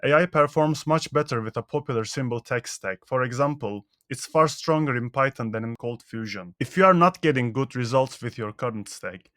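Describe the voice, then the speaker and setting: A man whose first language is Turkish walks through a scripted tutorial on his working methods. He moves from one tip to the next in a brisk, matter-of-fact voice. The mic is close and the sound is dry, with no room around it. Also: mid-range voice, fairly bright tone, no echo. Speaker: software engineer.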